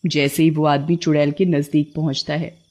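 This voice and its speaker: A narrator telling a scripted horror tale for an animated story. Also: medium pitch, normal speed.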